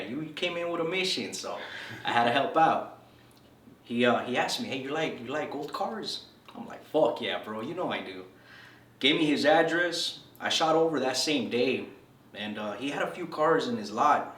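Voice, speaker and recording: A man in his thirties from El Salvador, sharing his story in a loose, slangy way with "bro" thrown in all the time. His voice sits at an ordinary middle pitch. He is a little off the mic in a roomy, echoing space. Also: expressive, moderate pace, a bit bright. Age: 30s. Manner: laid-back slangy storytelling, says "bro" a lot. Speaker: interviewee. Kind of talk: casual storytelling interview. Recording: echoey room from a distance.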